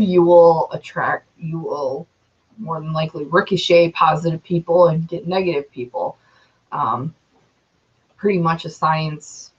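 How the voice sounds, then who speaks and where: Young woman, twenties, slow rambling vlog talk, lowish voice, dull lo-fi sound. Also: a bit bright. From Metro Detroit, Michigan, USA. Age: 20s. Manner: rambling, many ums and you knows. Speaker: YouTuber.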